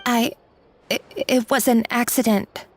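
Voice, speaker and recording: An actress voicing a nervous young kitchen worker who stammers and apologizes, in a game cutscene. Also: female voice, fairly high pitch, steady tone, unhurried, a little hiss or hum, close to an everyday voice. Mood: cowed. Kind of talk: game voice acting.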